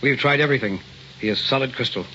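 A man's voice: mid-range voice, fast talker, over loud music, close to an everyday voice. Man playing muffled radio-drama professor, earnest and formal. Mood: resigned. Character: earnest, puzzled male professor of science. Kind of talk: old-time radio drama acting. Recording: dull, noisy old radio recording.

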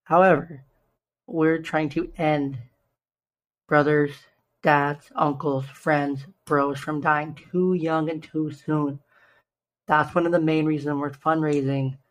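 A young man with a slightly raspy, hoarse voice, earnestly hosting a solo podcast and slowing down to stress key numbers. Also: fairly high pitch, little pitch movement, low-quality recording. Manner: earnest, stresses numbers slowly, self-interrupting restarts. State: hoarse from shouting the day before. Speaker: podcast host.

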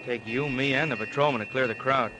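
An actor playing an urgent, dutiful police detective sidekick, brisk and commanding, in an old-time radio crime drama. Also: male voice, mid-range voice, lightly acted. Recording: noisy vintage radio recording.

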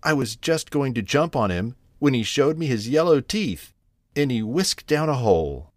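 A man's voice: mid-range voice, big pitch swings, normal speed, no echo, clean studio sound, pitched above the performer's own voice, natural voice. A man voicing an anxious, tearful mother cat in a children's storybook, fretful and lamenting.

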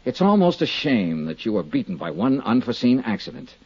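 An actor in a 1950s radio drama playing a shrewd, hard-boiled insurance investigator, husky, clipped and sardonic. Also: male voice, medium pitch, sing-song, a little fast. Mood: cold, accusing. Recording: dull 1950s radio recording.

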